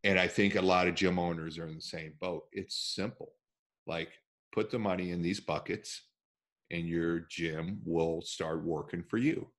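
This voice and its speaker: A man's voice: deep voice, a little slow. A man hosting a podcast interview on a video call, talking emphatically into a headset mic from a room at home. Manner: animated, emphatic, gestures with hands. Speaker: podcast host. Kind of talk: casual interview chat.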